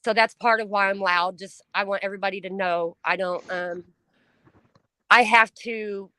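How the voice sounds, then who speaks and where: A middle-aged woman talking on a home stream, loud and blunt, swearing freely, her voice climbing when she gets upset. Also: medium pitch, steady tone, normal speed, smooth, dry recording. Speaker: stream co-host. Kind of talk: emotional casual chat.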